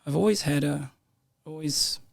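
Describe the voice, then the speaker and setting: A man's voice: fairly high pitch, moderate pace, vocal fry. A young man with a New Zealand accent, a guest telling stories in a casual podcast chat, laughing often. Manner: laughs often, relaxed storytelling with slang. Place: podcast room.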